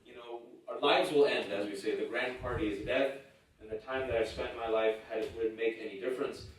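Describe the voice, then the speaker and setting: Male campus speaker, Urdu first language, wry self-mocking storyteller, mid-pitched voice, heard from far off in a reverberant lecture hall. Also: slight background noise. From California, United States. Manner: joking, self-deprecating storyteller. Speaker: guest speaker at a campus event. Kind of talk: humorous personal speech. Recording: echoey lecture hall, distant mic.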